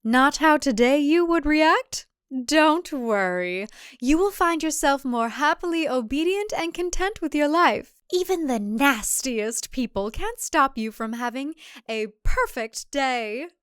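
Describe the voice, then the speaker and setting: Woman putting on a slow, sugary cult promoter. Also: sits high, animated, a bit bright, no room sound, studio quality, voice pushed up. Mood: saccharine, chirpy. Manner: slow, exaggerated, sugary salesmanship. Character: chirpy, saccharine female cult leader in promo video.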